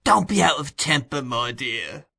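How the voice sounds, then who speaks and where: A man voicing a sly, fawning old criminal ringleader with a high pleading whine. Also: expressive, measured pace, vocal fry, pushed and bright, heavily acted.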